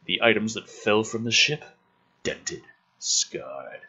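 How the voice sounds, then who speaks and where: A man with a forceful, raspy voice reads a script aloud with lively, swinging pitch. He drops into casual, exasperated commentary with plenty of swearing. The recording sounds slightly dull and lo-fi. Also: slow pace. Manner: sardonic, sweary, exasperated asides. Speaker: host reading and critiquing a film script draft.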